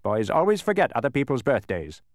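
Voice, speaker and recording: A man voicing a tiny, stout, fierce storybook policeman, officious, blustering and quick to accuse. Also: mid-range voice, sing-song, no room sound, studio quality, pitched above the performer's own voice, lightly acted. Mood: stern, officious.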